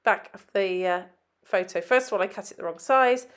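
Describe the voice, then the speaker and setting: A woman talks through her crafting process as a voice-over, her voice a little nasal because she is getting over a head cold. She explains each step in a chatty, easygoing way, with plenty of ums, at a middling pitch. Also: moderate pace. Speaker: scrapbook designer. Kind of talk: voice-over tutorial narration.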